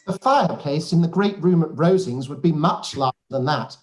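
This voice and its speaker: A woman playing a pompous, self-satisfied clergyman in a period radio play, with drawn-out formal delivery. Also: low-pitched, lively intonation, a little mellow. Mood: boastful.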